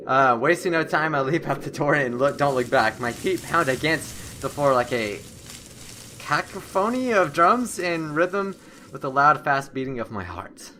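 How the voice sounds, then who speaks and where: A young man hosting a horror game playthrough, reading the story text aloud in an excitable, dramatic way and stumbling now and then. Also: a little high, measured pace, a little hiss or hum. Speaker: gaming video host.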